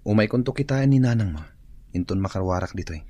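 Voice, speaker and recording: Low, husky Filipino actor as a gruff patient. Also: male voice, animated, fast talker, mellow, no room sound. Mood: inquisitive. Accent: Filipino, Ilocano. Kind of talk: Ilocano radio drama acting.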